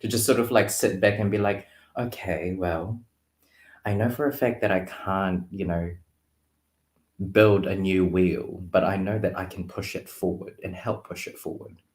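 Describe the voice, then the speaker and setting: A man talking casually as a guest tarot reader, thinking aloud in a halting, reflective way. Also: low-pitched, fairly even intonation. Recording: roomy, echoing sound.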